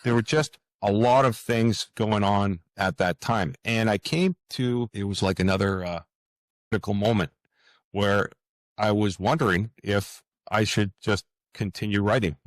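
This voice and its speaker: A man's voice: fairly low pitch, unhurried, no room sound. A man speaking reflectively as an interview guest, earnest and hesitant, often repeating words and phrases. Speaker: author, podcast guest.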